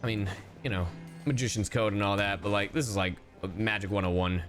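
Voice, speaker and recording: A man's voice: fairly low pitch, a little fast, fairly bright tone, soft background music. A man streaming a game, chatting casually with his viewers, joking around and swearing freely. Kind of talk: casual livestream commentary.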